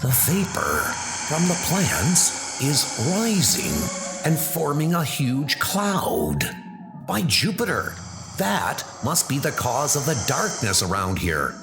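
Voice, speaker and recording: A wounded scientist, weakened by blood loss, recounts his tale in an earnest, wondering voice, as a man acts him out. The pitch sits raised and lively against faint background music, like a comic-book audio drama. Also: takes it slowly, gravelly, full character voice. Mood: urgent. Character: wounded male scientist, shaken but determined.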